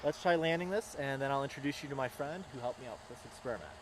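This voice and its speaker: A man's voice: mid-range voice, rapid-fire, background noise. A man hosting a casual on-location vlog outdoors, commenting on a hands-on experiment. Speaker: host running a drone antenna experiment.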